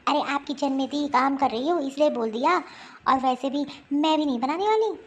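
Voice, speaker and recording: A woman's voice: high-pitched, normal speed, natural voice. A woman playing a proud young wife, the younger daughter-in-law, who taunts her sister-in-law cheekily. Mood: taunting. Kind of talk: homemade family skit acting.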